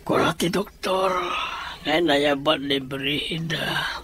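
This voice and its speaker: A man's voice: on the high side, slow, a bit bright, husky, heavily acted. A man with a Filipino accent playing an ailing, suspicious household master in a radio drama. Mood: weak, breathy. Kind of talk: Ilocano radio drama acting.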